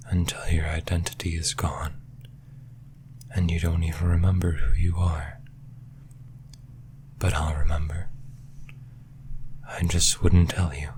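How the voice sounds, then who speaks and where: A man voicing a possessive, taunting yandere demon in a breathy, menacing whisper, in the style of an ASMR roleplay. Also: rumbling low, a little mellow, slight background noise. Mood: brooding, eerie. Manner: slow, menacing, teasing.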